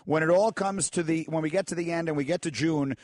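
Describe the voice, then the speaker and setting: A male host runs a sports radio segment at a quick clip. His voice sits a little high and sounds close and dry in the studio. He is upbeat and often restarts mid-sentence. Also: a little mellow, no echo. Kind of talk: sports talk radio hosting. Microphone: studio microphone.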